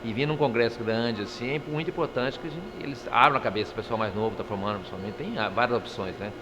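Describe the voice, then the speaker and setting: A man, a psychologist, explaining his field in a fast, explanatory way in a street interview, ending points with tag questions. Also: medium pitch, noisy background. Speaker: psychologist at a congress. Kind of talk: vox-pop interview.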